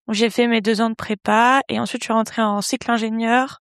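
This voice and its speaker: A young woman from the Paris region, an engineering intern, presenting herself and her internship in a measured, orderly way. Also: on the high side, steady tone, a bit bright, clear, resonant tone, no room sound, studio quality. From Paris region, France. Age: young adult. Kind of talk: scripted self-presentation.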